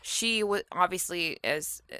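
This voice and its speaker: Female podcast co-host, slightly low pitch with a bright, forceful edge, blunt and casual. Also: a little slow, clean tone, no room sound. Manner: blunt, opinionated, profane. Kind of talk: casual improvised movie brainstorming.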